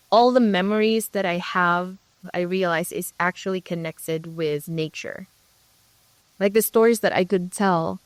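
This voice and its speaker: Smooth-voiced woman from the Philippines. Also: mid-range voice, no room sound. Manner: reflective, storytelling. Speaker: guest, ministry co-leader. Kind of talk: conversational interview.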